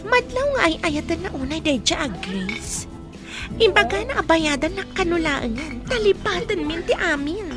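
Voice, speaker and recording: Babae nga agakting a kas nasikap a balasang, nakangatngato ti timekna. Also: female voice, very high-pitched, very animated, moderate pace, over music. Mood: scheming. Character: scheming young woman plotting to deceive a man. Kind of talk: radio drama acting.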